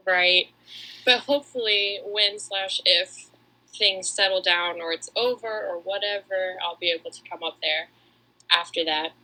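A woman's voice: medium pitch, measured pace, bright, forceful tone, rough recording. A woman from Atlanta in the United States chatting casually and a little self-deprecatingly as a podcast co-host. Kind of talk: casual chat.

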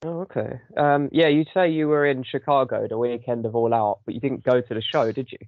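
A man with a sore throat hosts a casual podcast chat. His voice is gravelly and he rambles through lots of ums. The recording sounds dull and dry. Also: mid-range voice, steady tone, fairly quick, no echo. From United Kingdom. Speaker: podcast host.

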